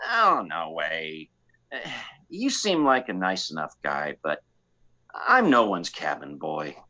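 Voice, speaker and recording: A man playing a cocky, free-spirited space pilot in a comic radio play, rambling and repeating himself in halting bursts. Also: very deep voice, huge pitch swings, bright, forceful tone, full character voice. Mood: reluctant, defiant.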